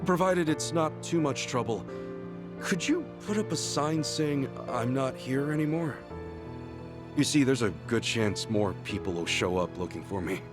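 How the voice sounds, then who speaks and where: An earnest ex-yakuza hero, acted by a man in a video game drama. He sounds sincere and weighed down by guilt, apologetic in a mid-range voice. Music plays clearly behind him. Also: mid-range voice, lively intonation, measured pace, close to an everyday voice. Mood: polite, contrite.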